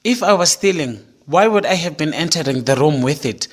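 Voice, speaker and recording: A man's voice: a little high, expressive, moderate pace. A man playing a respectful, pleading young man accused of theft in an audio drama.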